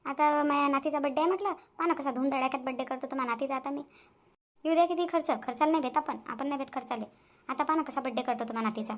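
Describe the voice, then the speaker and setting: Lady doing a grumbly proud cartoon granny, vidarbha marathi accent, really high and fast. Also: lightly acted. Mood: boastful. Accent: Vidarbha Marathi (Varhadi). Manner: nagging, complaining, muttering asides. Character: proud, grumbling, boastful grandmother and mother-in-law. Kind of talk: cartoon family drama dialogue.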